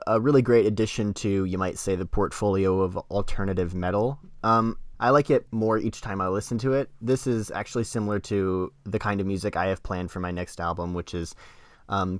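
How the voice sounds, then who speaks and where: A man's voice: fairly low pitch, no echo. A man co-hosting a podcast, giving casual, conversational reviews. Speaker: podcast co-host reviewing music. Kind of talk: casual chat, music review.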